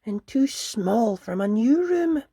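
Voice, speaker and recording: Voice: medium pitch, sing-song, takes it slowly, warm tone, breathy edge, no echo, studio quality, pitched above the performer's own voice, heavily acted. A storyteller voicing a small, anxious young boy rabbit in a children's storybook, plaintive and childlike. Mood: glum, unsure.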